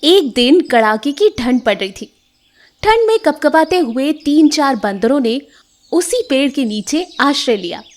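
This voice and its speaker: A woman storyteller reading scripted children's moral tales in an expressive way. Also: high-pitched, a little slow, no echo.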